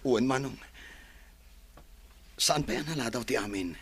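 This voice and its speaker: An actor playing a calm, forgiving man who reassures his brother in a radio drama. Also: medium pitch, measured pace, husky, dry recording. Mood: reassuring. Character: forgiving man named Marshal, calm and steady. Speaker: brother character.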